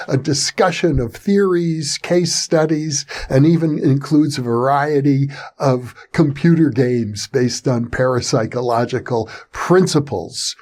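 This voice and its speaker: A man, hosting an interview and speaking in measured, orderly sentences. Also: a little high, a little mellow. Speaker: interview host. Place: video call interview.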